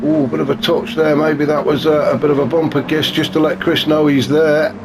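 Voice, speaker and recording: A man commentating a sim race in a chatty, wry way, his voice a bit gravelly and snuffly from a cold. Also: a little high, little pitch movement, brisk. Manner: chatty and wry, many fillers. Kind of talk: live sim racing commentary. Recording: noisy with car engine sounds behind.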